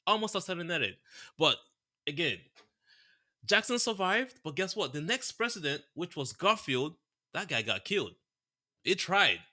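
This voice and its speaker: Bright, forceful man co-hosting. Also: a little high, sing-song, measured pace, long pauses. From Nigeria. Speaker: co-host of a crypto show. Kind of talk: casual discussion with read-out quotes.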